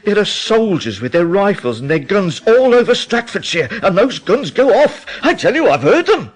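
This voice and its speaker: A man's voice: high voice, very animated, normal speed. An actor playing an alarmed, agitated man from the English Midlands in a radio drama, telling things with dramatic urgency.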